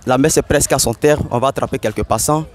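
A man's voice: mid-range voice, quick pace. A young man with a Togolese French accent presenting street interviews to camera on a handheld mic outdoors. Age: young adult. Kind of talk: vox pop presenting to camera. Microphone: small handheld mic held at mouth.

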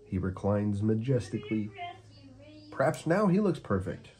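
A man reading a children's storybook aloud as an expressive narrator. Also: on the low side, moderate pace. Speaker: storyteller, host of a read-aloud show.

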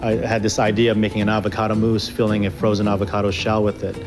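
A man's voice: lowish voice, monotone, quick pace. A man from the Philippines and Hawaii, a chef and restaurant owner, giving interview soundbites for a TV feature. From born in the Philippines, raised in Hawaii. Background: background music.

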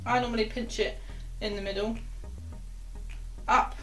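A young woman, a sailing instructor, walking through knot-tying step by step in a chatty way, with quiet asides as she works the rope, recorded close to a camera in a small wooden cabin. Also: on the high side, fairly even intonation, measured pace, soft background music, poor audio.

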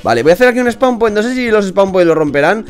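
Excitable young man, high voice. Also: fast. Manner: excitable, swears and shouts often. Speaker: gaming channel host. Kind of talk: casual let's play chat.

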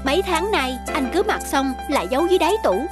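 High-pitched hurt young wife. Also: female voice, sing-song, fast talker. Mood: teasing. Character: worried wife, hurt, tender, scolding her husband. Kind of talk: Vietnamese cartoon dubbing. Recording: loud music bed behind voice. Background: loud background music.

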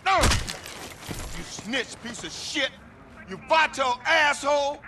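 An actor playing a menacing, contemptuous corrupt cop in video game cutscenes. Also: male voice, very high voice, animated, takes it slowly, sharp tone, slight background noise, full character voice.

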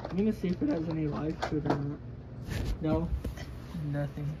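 A man's voice: a little high, brisk, dull audio. A young man chatting casually and unscripted as he co-hosts a home gadget review. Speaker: co-host of gadget review vlog. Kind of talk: casual unscripted chat while unboxing. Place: small carpeted room in a house. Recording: noisy handheld home recording.

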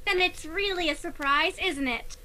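A woman's voice: extremely high pitch, normal speed, sharp tone, slight background noise. A woman playing a sweet, coy teenage girl in old-time radio comedy style.

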